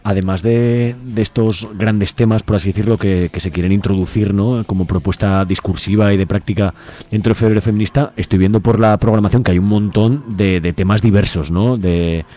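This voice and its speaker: A man's voice: lowish voice, fairly even intonation, a little fast, warm tone. A man hosting a conversational radio interview, informal in tone and often ending phrases with a questioning tag. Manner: informal, frequent question tags.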